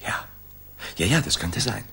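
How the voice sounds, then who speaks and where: An actor playing a detective's loyal, eager and puzzled companion in a 1960s German radio drama, questioning and slightly stammering. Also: male voice, on the low side, sing-song, unhurried, gravelly, fairly bright tone, slightly rough, full character voice. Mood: eager, baffled.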